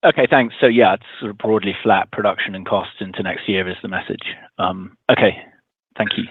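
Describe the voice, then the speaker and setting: A man's voice: fairly low pitch, fairly quick, husky. A man speaking as a financial analyst on an earnings call, hesitant and restarting as he puts his question. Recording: dry phone-like line.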